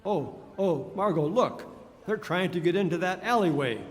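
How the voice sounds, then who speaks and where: A man playing a composed, concerned gentleman amateur detective in old-time radio drama style. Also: sits high, lively intonation, normal speed. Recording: echoey hall.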